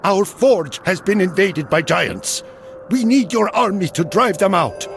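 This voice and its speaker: A man voicing an excitable little dwarf smith in a video game cutscene, exclaiming and pleading. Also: high-pitched, measured pace, faint music behind. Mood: pleading, alarmed.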